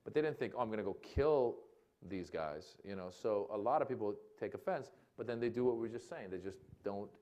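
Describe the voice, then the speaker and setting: A man's voice: lowish voice, animated, a little fast, mellow. A man with an American accent speaking as a comedian guest on a panel, casual and full of fillers. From Iran, raised in America. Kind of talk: on-stage public discussion.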